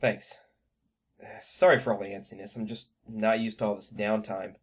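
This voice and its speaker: A man's voice: lowish voice, little pitch movement, normal speed. A man acting as a restless ex-military newcomer to a spaceship crew in an audio drama. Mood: restless, curious.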